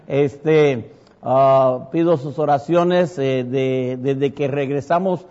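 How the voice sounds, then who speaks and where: A man's voice: medium pitch, slow, dark tone. A middle-aged Puerto Rican man with a Mexican-tinged accent, a pastor giving a rambling testimony to his congregation, full of fillers and self-corrections. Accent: Mexican-tinged Puerto Rican Spanish. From Puerto Rico. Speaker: pastor and church planter. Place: church sanctuary. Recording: muffled church hall sound with long reverb.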